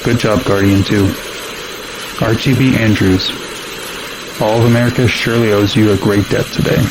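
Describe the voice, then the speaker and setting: An actor playing a stern military air traffic controller in an audio drama, with a clipped, commanding manner. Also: male voice, lowish voice, fairly even intonation, moderate pace, lightly acted. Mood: controlled. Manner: clipped, commanding radio procedure. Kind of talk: modern audio drama acting. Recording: radio chatter with clear background noise.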